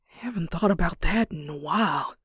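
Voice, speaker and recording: A plainspoken young cartoon farm mare, voiced by a woman, on the low side with a folksy Southern American drawl. She sounds grounded and country-bred, with prominent music playing underneath. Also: moderate pace, fairly bright tone, close to an everyday voice. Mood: wistful, regretful. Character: plainspoken, weary young adult farm mare, cowgirl pony. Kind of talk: animated comic dub acting and narration.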